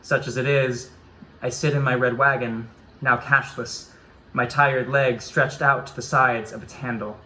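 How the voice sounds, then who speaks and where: Man in a deliberate surreal reading. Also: medium pitch, slight background noise, low-quality recording. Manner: even, deliberate, philosophical recitation. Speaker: writer. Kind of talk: reading of surreal prose poetry.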